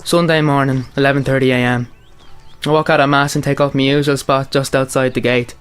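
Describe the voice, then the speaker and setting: An actor with a Northern Irish accent playing a wry, matter-of-fact old friend in a radio play. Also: male voice, mid-range voice, flat delivery, brisk, a bit bright, clean tone, soft background music, natural voice. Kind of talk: radio drama dialogue and monologue.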